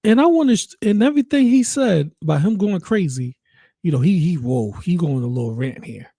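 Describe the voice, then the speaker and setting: Mellow, halting streamer man. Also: high-pitched, lively intonation, dry recording, clean studio sound. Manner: halting, many restarts and fillers, easygoing. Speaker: streamer and commentator. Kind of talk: casual live stream commentary.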